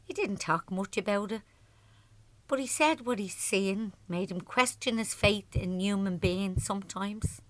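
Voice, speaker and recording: An actress plays a grieving woman in a naturalistic radio play. She speaks slowly and haltingly at a middle pitch, reflecting on a troubled soldier she knew. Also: fairly bright tone, natural voice, rough recording. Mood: subdued.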